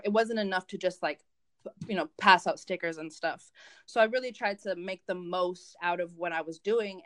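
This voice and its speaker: A young woman whose first language is Spanish talks in a relaxed interview. She rambles, circles back and fills her sentences with restarts. Her voice sits at an ordinary middle pitch for a woman. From United States. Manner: rambling, many fillers and restarts. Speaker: guest, event organizer. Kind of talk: casual interview chat.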